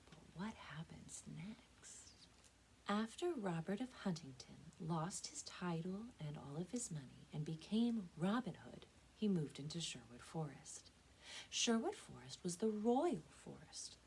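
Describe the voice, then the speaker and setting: A young American woman, a children's librarian telling stories with expressive, dramatic emphasis, recorded indoors on a camera mic. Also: on the low side, unhurried, a little hiss or hum, poor audio. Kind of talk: animated storytelling for children.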